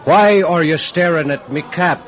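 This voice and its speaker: An actor playing a stubborn, suspicious Irish villager with a pointed, needling manner in a radio drama. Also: male voice, a little high, unhurried, some chatter behind, slight background noise, natural voice. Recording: dull, muffled radio-drama recording.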